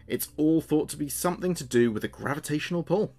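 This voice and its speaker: A man playing a chatty late-night local radio DJ, with a measured presenter's delivery. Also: on the high side, a little mellow, faint music behind, natural voice. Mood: presenting, chatty. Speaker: radio host. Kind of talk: scripted late-night radio show narration.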